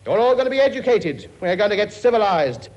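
A loud, bossy king who cracks jokes at his own children, and his voice swoops high and low all the time. He sits high in his register with a lot of lively bends. The live recording has heavy noise running underneath him. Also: quick pace. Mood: lecturing. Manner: blustering, bossy, wisecracking. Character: bossy joking middle-aged king with many children. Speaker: comic actor. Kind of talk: live comedy sketch.

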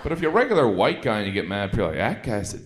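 Stand-up man, self-mocking and dry, lively up-and-down delivery, booming club echo. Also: very fast, a little hiss or hum. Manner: self-deprecating, dry punchlines. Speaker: stand-up comedian. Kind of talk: stand-up set on stage. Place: comedy club stage. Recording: echoey club with loud crowd laughter. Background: audience laughing.

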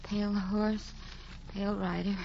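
A woman's voice: high voice, measured pace, a little hiss or hum. An actress playing a frightened young woman sinking into fever and delirium, in a dull lo-fi recording. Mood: wistful. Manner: fragile, dreamy, frightened. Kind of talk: radio drama acting.

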